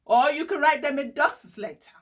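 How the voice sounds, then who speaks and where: A woman's voice: high-pitched, fairly quick, fairly bright tone, pitched above the performer's own voice. A woman voicing an encouraging, upbeat older boy cousin in a storybook, reassuring and enthusiastic.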